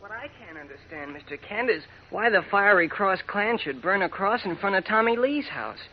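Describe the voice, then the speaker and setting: A man's voice: high-pitched, lively intonation, moderate pace. A man playing an earnest, eager teenage boy in a 1940s radio drama. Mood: puzzled, earnest. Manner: eager, boyish exclamations. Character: earnest excitable teenage boy, young newspaper pal. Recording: dull, noisy 1940s radio recording.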